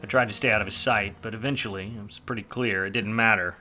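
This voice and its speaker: An actor playing a weary, wisecracking 1940s private detective in a film noir radio play, with a wry, slangy manner. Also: male voice, lowish voice, fairly even intonation, quick pace, over music, close to an everyday voice. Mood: brooding. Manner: wry, hard-boiled, slangy.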